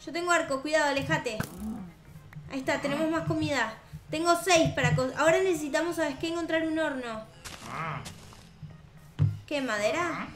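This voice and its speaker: A young woman with a Rioplatense Spanish accent, hosting a gaming video and improvising roleplay in an expressive, sweet, childlike way. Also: sits high, normal speed, long pauses. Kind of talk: improvised in-character gameplay roleplay. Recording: roomy echo, music behind. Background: background music.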